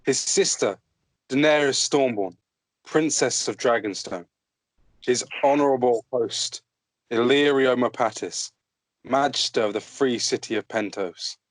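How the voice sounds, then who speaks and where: A man's voice: medium pitch, measured pace, no room sound, close to an everyday voice, poor audio. A man playing a formal eunuch herald in an amateur script table read, announcing noble guests in a ceremonial, declamatory manner.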